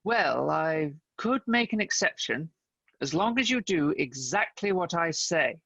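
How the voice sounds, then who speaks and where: A man acting a self-important, bumbling private detective in a comedy radio serial, with a pompous, deadpan delivery. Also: fairly high pitch, measured pace, gravelly, breathy edge, dry recording, muffled recording, exaggerated voice.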